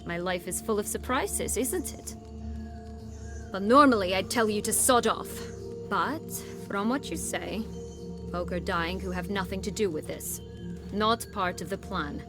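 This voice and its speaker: A woman's voice: medium pitch, unhurried, natural voice. A woman playing a proud, bitter banished noblewoman in a video game, wry and taunting, with menace. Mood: wry, guarded. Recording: music behind the voice.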